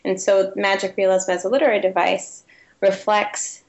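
A woman's voice: mid-range voice, little pitch movement, normal speed, muffled recording. A woman author who grew up in the United States speaking Ukrainian first, giving a thoughtful interview with frequent restarts. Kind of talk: interview guest.

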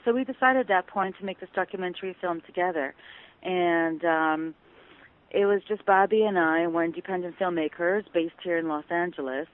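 A woman's voice: fairly low pitch, brisk. A woman raised in Detroit, whose first language was Ukrainian, recounting a long story in detail in a phone interview. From United States, Detroit. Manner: detailed, storytelling with quoted dialogue. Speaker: film producer, interview guest. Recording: tinny phone line.

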